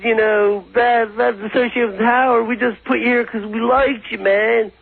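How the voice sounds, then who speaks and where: Young man phoning in to radio, creaky and husky, loose slangy storyteller. Muffled lo-fi call. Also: extremely high pitch, steady tone, normal speed. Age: 20s. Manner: slangy slacker talk, says "man" constantly. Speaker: phone-in recurring guest. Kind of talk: casual rambling anecdotes.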